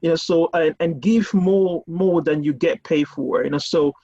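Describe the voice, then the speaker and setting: Husky, halting man on a muffled call. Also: fairly high pitch, dark tone. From Cameroon. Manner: halting, many repeated words and fillers. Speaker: university lecturer guest. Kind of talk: casual panel discussion. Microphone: video call. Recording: muffled lo-fi video call audio.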